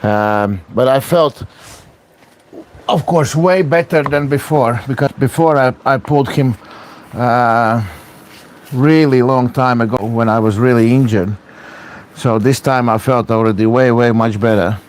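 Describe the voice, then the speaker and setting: A man's voice: medium pitch, a little slow, slight background noise. A man from Latvia, an arm wrestler talking casually to viewers in broken English while he trains. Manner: broken English, chatty, talks through exertion.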